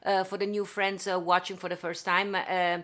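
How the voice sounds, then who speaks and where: A woman's voice: on the low side, level tone, moderate pace, fairly bright tone. A woman from Venice with an Italian accent, hosting a casual livestream and greeting viewers effusively. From Italy (Venice). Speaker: singer and livestream host. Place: home studio.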